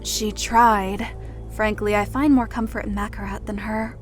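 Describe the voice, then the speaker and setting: A woman's voice: medium pitch, flat delivery, measured pace, faint music behind, close to an everyday voice. A woman playing a playful, easygoing pregnant woman in a fantasy audiobook, chatting in a casual, gossipy way. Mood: shrugging, dry. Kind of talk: fantasy audiobook dialogue over music.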